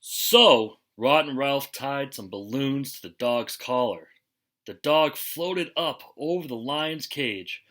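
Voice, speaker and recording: A man reading a children's picture book aloud expressively, with deliberate, dramatic emphasis and pauses. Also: mid-range voice, animated, pushed and bright. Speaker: storybook reader.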